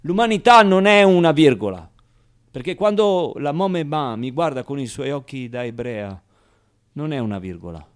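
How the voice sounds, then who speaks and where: A man's voice: on the high side, expressive, normal speed, clean tone, lightly acted. A man playing a streetwise, wry and wounded ten-year-old orphan boy in a theatrical radio monologue, talking in slangy, colloquial bursts and muttered asides. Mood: indignant, impassioned. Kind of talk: theatrical radio monologue, first-person storytelling.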